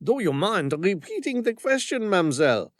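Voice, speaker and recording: A man voicing a nervous French naval commander with a heavy French accent, pleading in a high, slow voice. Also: sing-song, no echo, studio quality.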